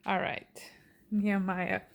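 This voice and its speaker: A woman's voice: mid-range voice, slow pace, vocal fry, lo-fi sound, a little hiss or hum. A woman chatting casually as a co-host. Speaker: co-host and parent. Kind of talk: casual chat.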